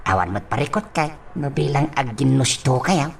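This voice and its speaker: An actor with an Ilocano accent playing a fretful, fumbling older village father in a radio drama, halting and stammering. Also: mid-range voice, lively intonation, gravelly, slight background noise. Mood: apologetic, pleading. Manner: halting, stammering, full of exclamations and a repeated catchphrase. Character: fretful, fumbling old village father with a repeated verbal tic.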